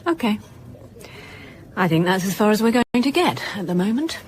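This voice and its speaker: A woman playing a brisk British police chief inspector in a naturalistic radio drama, questioning politely but insistently. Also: medium pitch, very animated, slight background noise, lightly acted. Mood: businesslike.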